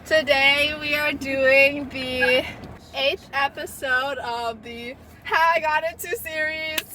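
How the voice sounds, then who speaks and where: A young woman hosting a casual interview, asking quick questions with short reactions. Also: high-pitched, steady tone, pushed and bright, a little hiss or hum. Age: young adult. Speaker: host.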